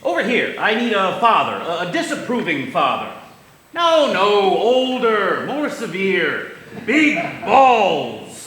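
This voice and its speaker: A man's voice: high-pitched, wildly swooping pitch, slow, bright, forceful tone, exaggerated voice. An actor playing a flamboyant showman who narrates and turns into a celebrity chef, performing a live staged reading of a musical. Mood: playful. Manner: theatrical, playful, flamboyant. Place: theater stage. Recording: echoey stage, faint noise.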